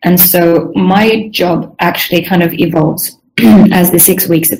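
A woman's voice: lowish voice, fairly even intonation, a little slow, a little mellow, a little hiss or hum, rough recording. A young woman from Sweden and Southern Africa with a slightly strained throat, explaining her work as a game designer in an informal interview. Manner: frequent throat clearing. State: strained voice, needing to clear throat.

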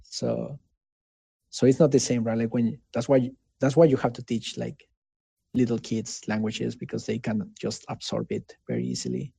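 A man with a Mexican accent and Spanish as his first language, answering casually in an interview in an earnest, halting way, often checking for agreement. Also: medium pitch, lively intonation, gravelly, mellow, breathy edge, no echo. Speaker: interviewee, game developer.

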